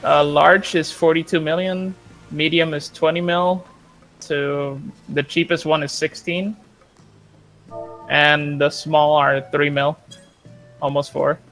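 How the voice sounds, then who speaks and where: A man's voice: a little high, fairly even intonation, slow, pauses often, a bit bright, soft background music, poor audio. A man chatting casually as he plays an online game with friends. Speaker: co-player. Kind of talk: casual gaming chat.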